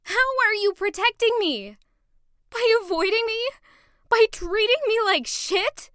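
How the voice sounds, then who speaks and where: A woman's voice: very high voice, expressive, unhurried, bright, forceful tone, no room sound, clean studio sound, heavily acted. A woman acting in an audio drama as a wounded young woman confronting her lover, emotional, accusing and pleading. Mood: hurt, demanding.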